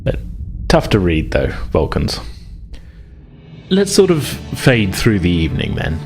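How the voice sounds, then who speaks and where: Guy game mastering a tabletop rpg, low voice, chatty descriptive narration, faint music in the back. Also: wildly swooping pitch, measured pace, a little mellow. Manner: conversational, descriptive.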